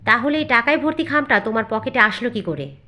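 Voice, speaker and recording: Cartoon casting: woman as outspoken young wife, hurt but unbending, slightly high voice. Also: expressive, fast, bright, forceful tone, faint music behind. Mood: accusing. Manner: accusing, firm, outspoken. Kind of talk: cartoon voice acting.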